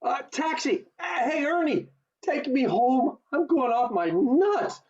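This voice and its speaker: Bewildered everyman, played by a woman, animated, lo-fi audio. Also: sits high, slow pace, full character voice. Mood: agitated. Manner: stammering, urgent. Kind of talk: community theatre radio play acting. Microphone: video call. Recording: dull, lo-fi video call audio.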